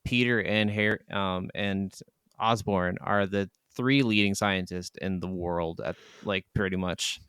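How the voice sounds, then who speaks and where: A man with a fairly low, clean voice speaks as a podcast co-host in casual gaming chat. He is emphatic and swears readily. The recording is dry, with little room sound. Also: unhurried, fairly bright tone, smooth, no room sound. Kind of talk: casual chat about video games.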